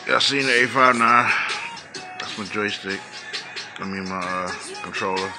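American man, lowish voice, casual hobby vlogger, slangy laid-back delivery, music clearly playing behind him. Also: measured pace. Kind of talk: casual show-and-tell narration. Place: indoors at home, speaking from behind the camera.

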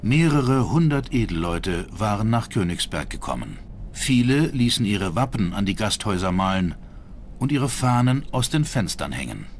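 A man's voice: lowish voice, very animated, normal speed, slight background noise. A man narrating a scripted historical documentary. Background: music.

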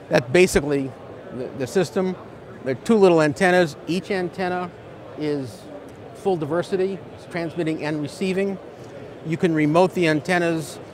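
A man in his sixties giving an informal, halting product demonstration, explaining as he goes and repeating words. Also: fairly high pitch. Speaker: product demonstrator at a trade show. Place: large indoor exhibition hall. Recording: busy trade-show crowd noise behind. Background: crowd chatter.

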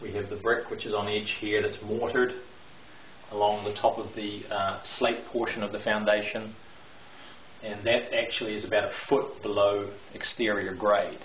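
A man's voice: fairly low pitch, level tone, normal speed. A middle-aged man giving a video tour of a house under renovation, explaining construction details in a hesitant way with many fillers, filmed on a handheld camera in a bare basement. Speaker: renovation contractor. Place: bare brick basement, indoors. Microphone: handheld camera mic. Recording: echoey, dull lo-fi with faint hiss.

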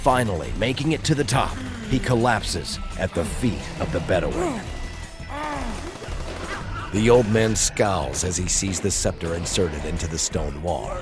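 A man's voice: low-pitched, lively intonation, a little slow. A man narrating an audio drama in an intense, portentous storytelling manner. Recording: loud cinematic music under narration. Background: loud dramatic music.

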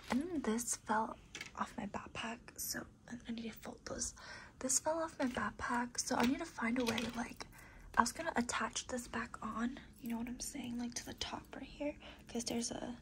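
A young woman losing her voice, whispering raspily in a casual, rambling ASMR desk tour, with lots of fillers. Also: on the high side, moderate pace, a bit bright, slight background noise, low-quality recording. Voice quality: raspy. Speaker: ASMR creator.

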